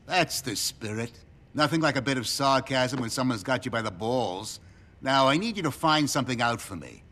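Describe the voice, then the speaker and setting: An actor playing a smug, cynical middle-aged American government agent, sardonic and commanding, in a video game cutscene. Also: male voice, mid-range voice, animated, moderate pace, bright, forceful tone.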